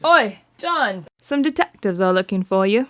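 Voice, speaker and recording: An actress playing a brisk, helpful cab office dispatcher in an audio drama. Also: female voice, medium pitch, expressive, a bit bright, close to an everyday voice. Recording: faint noise, office telephone ringing.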